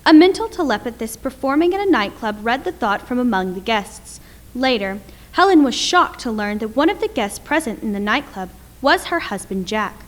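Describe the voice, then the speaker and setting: A woman's voice: a little high, big pitch swings, moderate pace. A woman playing the narrator of a suspense radio play, delivering scripted narration. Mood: ominous, measured.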